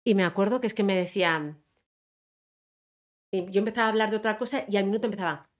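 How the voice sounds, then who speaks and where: A woman from Spain chatting as an interview guest, telling animated stories and quoting other people. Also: medium pitch, quick pace. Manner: animated storyteller, quotes others, laughs often. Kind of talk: casual interview chat.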